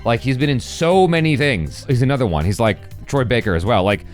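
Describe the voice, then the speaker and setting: A man hosts a reaction show with casual, enthusiastic chat. His mid-pitched voice swings widely up and down. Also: fairly quick, faint music behind. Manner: animated, enthusiastic.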